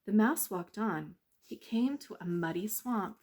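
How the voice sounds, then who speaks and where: Slow, animated woman reading a bedtime story. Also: mid-range voice. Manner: measured, simple, expressive read-aloud delivery. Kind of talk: bedtime storybook narration for children.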